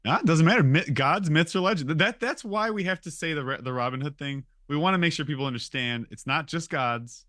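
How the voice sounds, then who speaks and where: Jokey podcast cohost guy, talks fast, bright punchy voice, casual banter. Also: fairly high pitch, no echo, clean studio sound. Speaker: podcast co-host.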